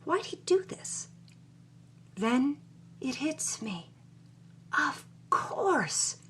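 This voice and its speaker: A woman's voice: sits high, big pitch swings, extremely slow, fairly bright tone, slight background noise, heavily acted. A woman playing a plucky, jaded 1950s Hollywood starlet, narrating her own story in a wry, punchy noir style. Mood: startled realization. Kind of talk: first-person noir-style scripted narration.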